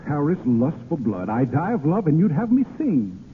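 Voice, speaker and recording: An actor playing an ardent romantic poet turned grand marshal, with florid, theatrical old-time radio delivery. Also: male voice, a little high, wildly swooping pitch, moderate pace, a little hiss or hum. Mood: lovestruck. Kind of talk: 1930s-40s radio acting. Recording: muffled old radio broadcast, loud music.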